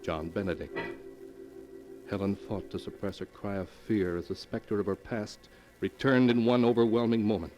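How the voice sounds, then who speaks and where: A man's voice: lowish voice, fairly even intonation, dark tone, faint music behind, close to an everyday voice. A man as an ominous old-time radio horror narrator. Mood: grave, tense. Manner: ominous, theatrical, portentous, drawn-out phrasing. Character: ominous male narrator of macabre mystery tales. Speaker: announcer and narrator. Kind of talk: 1940s radio melodrama narration. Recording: noisy 1940s radio recording.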